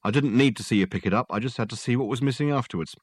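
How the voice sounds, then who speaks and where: A man voicing a weary, gruff male police sergeant who talks loudly and sarcastically. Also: mid-range voice, rapid-fire, no room sound, clean studio sound, close to an everyday voice. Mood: menacing. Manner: loud, sarcastic, threatening.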